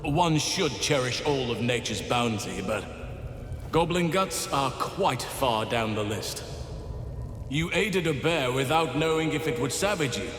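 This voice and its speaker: A man's voice: mid-range voice, animated, measured pace, a bit bright, a little hiss or hum. A man playing a courteous, dignified druid in a video game, with formal, wry wording. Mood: wry gratitude. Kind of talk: video game character acting. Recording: echoey reverberant hall, music behind.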